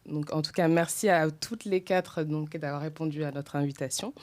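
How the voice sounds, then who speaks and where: A woman's voice: sits low, fairly even intonation, normal speed, darkish tone. A woman hosting a roundtable podcast, introducing guests with a hesitant delivery full of fillers. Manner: hesitant, many fillers and "donc". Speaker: podcast host.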